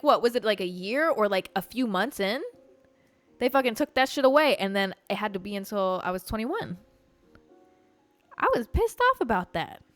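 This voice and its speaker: A woman's voice: fairly high pitch, a bit bright, no room sound. A woman in her twenties hosting a podcast, telling a casual story in a candid, loose, profane way with lots of "like" fillers. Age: 20s. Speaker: podcast host.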